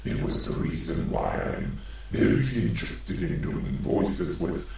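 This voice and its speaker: A man voicing an ominous, slow-speaking dark villain with a gravelly, rumbling voice and an echoing vocal effect. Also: wildly swooping pitch, heavily acted. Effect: deep echoing vocal effect. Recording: cavernous echo with faint noise.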